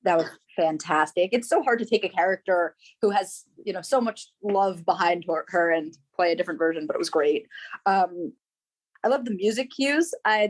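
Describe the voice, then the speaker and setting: A woman's voice: mid-range voice, rough recording. Hesitant woman on a podcast panel. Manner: hesitant, many fillers. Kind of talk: casual podcast discussion.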